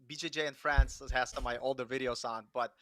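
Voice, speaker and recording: A middle-aged man with a bright, crisp-edged tone and a medium pitch chats on a livestream. He speaks informally and with enthusiasm, leaning on filler phrases. The sound is dry and close. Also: fairly even intonation, brisk, no echo. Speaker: guest developer and content creator. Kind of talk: casual livestream chat.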